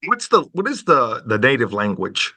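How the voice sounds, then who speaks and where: A man hosting a conversational podcast interview in a folksy, polite, animated manner. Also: mid-range voice, moderate pace. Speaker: podcast host.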